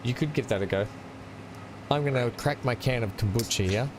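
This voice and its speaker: A man's voice: medium pitch, fairly quick, noisy background. A man with an Australian accent hosting a game stream, chatting casually and laughing often. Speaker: gaming channel host.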